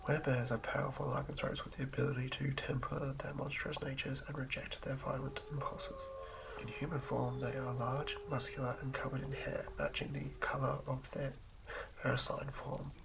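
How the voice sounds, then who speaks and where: Whispering ASMR man, heavily creaky. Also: medium pitch, flat delivery, moderate pace. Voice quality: breathy whisper. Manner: soothing, hushed, stumbles over words while reading. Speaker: ASMR host. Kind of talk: whispered ASMR reading of fantasy game lore. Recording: lo-fi recording with clear background noise.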